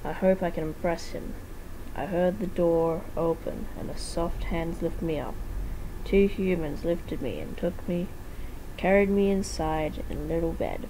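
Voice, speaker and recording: A woman's voice: fairly low pitch, expressive, a little slow, mellow, slight background noise, low-quality recording. A woman giving an amateur dramatic reading of a fan fiction story, sometimes stumbling over words and rereading them.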